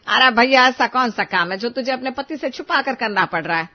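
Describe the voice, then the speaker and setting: Elderly scolding mother-in-law voiced by a female storyteller, high-pitched, exclamatory and taunting, status-proud, cartoon story, slightly dull audio. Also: lively intonation, brisk, lightly acted. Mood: shocked, scolding.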